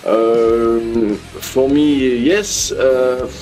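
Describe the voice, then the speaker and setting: A man in his forties with a French accent, a guest musician speaking slowly and hesitantly in English. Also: mid-range voice, steady tone, darkish tone, soft background music. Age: 40s. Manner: slow, hesitant, pausing.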